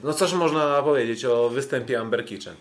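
A man hosting a podcast, giving a casual sports recap in a halting way with frequent filled pauses. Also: mid-range voice. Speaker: podcast host.

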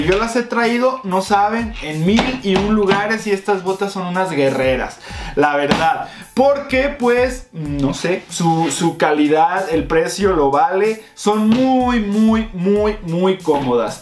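A young man giving a casual, enthusiastic review to viewers he calls friends, seated at a desk indoors. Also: high-pitched. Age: young adult. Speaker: fashion vlogger. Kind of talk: casual product review vlog. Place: indoor room with shelves. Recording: echoey room with faint music.

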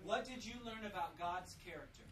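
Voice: medium pitch, expressive, fairly quick, crowd noise. Parent teaching from a distance. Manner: instructive, quizzing, repeats answers back. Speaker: parent leading family Bible study. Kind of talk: teaching children with questions. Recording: distant mic with light background noise.

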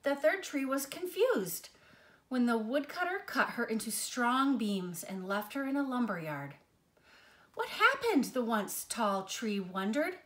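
A middle-aged woman with a fairly high voice reads a children's story aloud. Her delivery is expressive and measured, with dramatic emphasis made for young listeners. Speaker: storyteller reading a children's picture book. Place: living room armchair, indoors.

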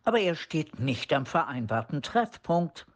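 A man's voice: fairly high pitch, sing-song, unhurried. A man reading scripted narration for a radio play.

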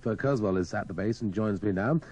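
A man's voice: on the low side, fairly quick, a little mellow, slightly rough. A man presenting a live radio news link.

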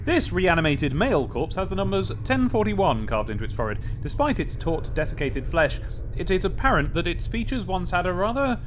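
A man's voice: high voice, big pitch swings, a little fast, background noise. A British man giving playful, wry, sardonic video game commentary, chatting casually and reading text aloud dramatically. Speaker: let's play host.

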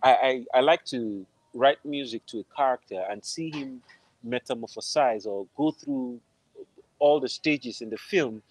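A man's voice: medium pitch, a little slow, dry recording. A man with a Nigerian accent, an interview guest talking casually on a video call, often searching for words and repeating phrases. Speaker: interview guest, film composer. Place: room at home, on a video call. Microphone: wired earphones on a video call.

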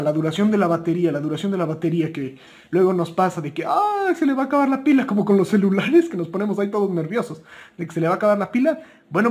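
High-pitched Mexican man chatting casually. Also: a little mellow. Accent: Mexican Spanish. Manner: chatty, informal, self-correcting. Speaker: video presenter giving buying tips. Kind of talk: casual unscripted product talk.

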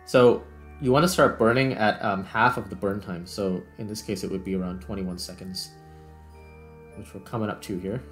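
A man's voice: lowish voice, steady tone, moderate pace, fairly bright tone, faint music behind. A man giving casual gameplay commentary as a video host, thinking aloud as he explains things.

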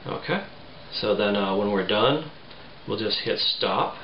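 Low-voiced man, roomy muffled sound. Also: lively intonation, measured pace. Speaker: tutorial presenter. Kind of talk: casual step-by-step tutorial. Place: small home room, indoors. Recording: roomy, muffled, faint background noise.

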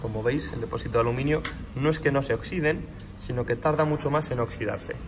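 A man's voice: mid-range voice, fast. Man explaining a bike in a noisy garage. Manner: explanatory, shifts to raised voice over a running engine. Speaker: motorcycle workshop owner showing a bike for sale. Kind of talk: hands-on walkaround video. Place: indoor workshop showroom. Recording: noisy room with engine sound.

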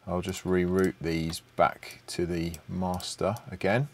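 A man's voice: deep voice, little pitch movement, slow pace, a little mellow, a little hiss or hum. A middle-aged man presenting an unscripted audio production tutorial, thinking aloud in a somewhat hesitant way. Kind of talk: unscripted screen-share tutorial.

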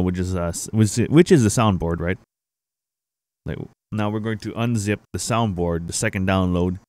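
A young man hosting a casual software tutorial, explaining things informally to viewers on a close microphone. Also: low voice, no echo. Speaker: tutorial host, gaming streamer. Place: indoor home streaming setup. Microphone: close boom-arm microphone.

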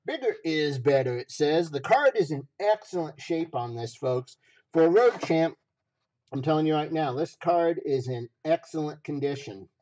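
A man hosting a livestream auction with folksy, repetitive casual sales patter, his voice rough, raw and a little hoarse. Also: a little high, lively intonation, moderate pace, darkish tone, muffled recording. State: slightly hoarse.

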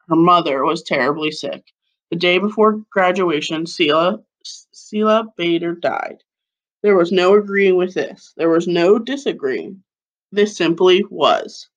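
A woman in her thirties reading a children's picture book aloud like a schoolteacher, with deliberate phrasing. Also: on the low side, muffled recording. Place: living room at home, seated on a couch. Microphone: webcam or laptop mic at about arm's length.

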